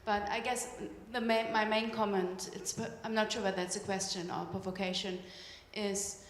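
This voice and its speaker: A woman whose first language is German, asking a thoughtful, measured question at a symposium. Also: medium pitch, level tone, a little hiss or hum. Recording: echoey hall with long reverb, faint ambience.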